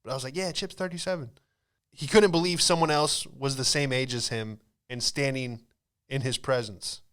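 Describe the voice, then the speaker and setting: A man's voice: medium pitch, fairly even intonation, moderate pace, fairly bright tone, clean tone. A man in his late twenties with an American accent, a podcast host telling comic stories in a casual, slangy, rambling way. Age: late 20s. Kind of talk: comedic storytelling.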